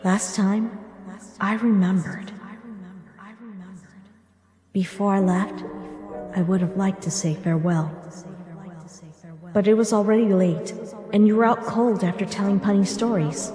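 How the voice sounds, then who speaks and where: A woman voicing a gentle, wistful monster mother back from death, speaking tenderly and reflectively in a dramatic comic dub. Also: medium pitch, a little slow, long pauses, close to an everyday voice. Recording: reverberant hall echo, music behind.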